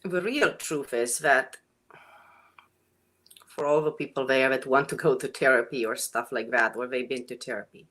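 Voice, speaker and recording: Romanian-accented woman guest, middle-aged, deep low voice, animated and earnest. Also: normal speed. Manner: animated, laughs often, earnest. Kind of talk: casual interview.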